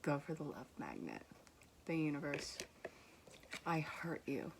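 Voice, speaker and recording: A young woman with a low voice and an accent that mixes soft Vermont with New York, talking straight to her viewers. She gives a casual, reassuring spiritual reading and teases gently as she reads card messages aloud. A faint hiss of room noise sits under her voice. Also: huge pitch swings, measured pace, vocal fry. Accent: mixed Vermont and New York. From Vermont, USA. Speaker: tarot reader.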